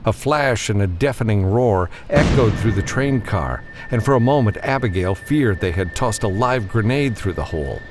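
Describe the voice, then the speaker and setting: A man narrates fiction in a dramatic audiobook style. His voice is low and moves expressively up and down, with a faint vehicle-like ambience behind it. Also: expressive, normal speed, few pauses, noisy background.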